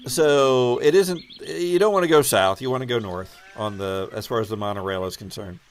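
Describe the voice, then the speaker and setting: A man acting as game master, narrating an improvised tabletop game in a halting, deliberate way. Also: mid-range voice, slight background noise.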